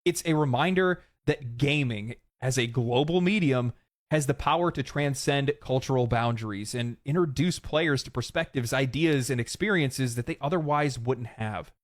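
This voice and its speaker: A man commenting on video games, opinionated and ranting, his voice hoarse and fading. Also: medium pitch, moderate pace, no room sound, studio quality. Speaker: gaming commentator.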